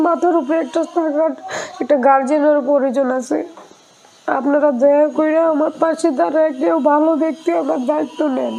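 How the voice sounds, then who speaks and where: A woman's voice: high voice, darkish tone, slight background noise, low-quality recording. A young woman with a colloquial Bangladeshi accent, making a plaintive, emotional personal appeal for help in an interview. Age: young adult. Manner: pleading, plaintive.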